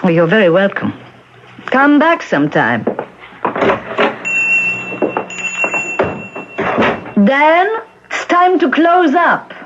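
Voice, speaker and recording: A woman's voice: sits high, very animated, unhurried, heavy background noise. Swooping, emphatic Czech shopkeeper woman. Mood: brisk, good-natured. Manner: emphatic, insistent questioning in foreign phrasing. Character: kindly, bossy, fretful middle-aged Czech shopkeeper woman. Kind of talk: 1970s radio drama acting. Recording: dull vintage radio broadcast recording.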